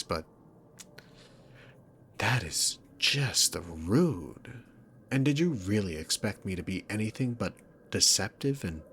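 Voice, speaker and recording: A man's voice: lowish voice, bright, forceful tone, a little hiss or hum, exaggerated voice. A man voicing a dominant, sarcastic demon king villain in an ASMR roleplay, talking to the listener with mocking, theatrical condescension. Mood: stern, commanding.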